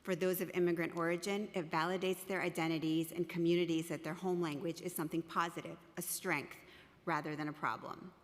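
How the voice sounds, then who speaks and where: A woman whose first language is Russian, a professor reading prepared testimony in a measured, formal way in a council chamber. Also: on the low side, little pitch movement. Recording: long reverb of a large hall.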